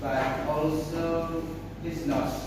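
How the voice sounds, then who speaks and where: A man presents to a class, talking slowly and haltingly, full of pauses and restarts. His voice sits a little high and is picked up from far across a large, echoey classroom with a long ringing tail. A clear hiss of room noise runs underneath. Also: fairly even intonation. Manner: hesitant, many fillers and restarts while searching for words. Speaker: student teacher presenting to class. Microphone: far camera at back of room.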